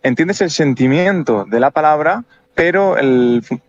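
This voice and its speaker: A man's voice: a little high, lively intonation, unhurried. Reflective man. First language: Spanish. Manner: reflective, thinks aloud with restarts. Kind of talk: casual chat. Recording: dull, muffled sound.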